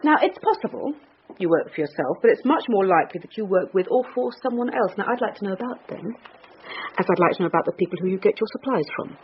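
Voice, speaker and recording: An actress playing a sardonic, commanding British police detective in a radio drama. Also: female voice, mid-range voice, lively intonation, fast, lightly acted. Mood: sardonic, pressing. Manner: dry, sarcastic, commanding. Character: sardonic, dogged female police detective boss. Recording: hissy, muffled radio broadcast.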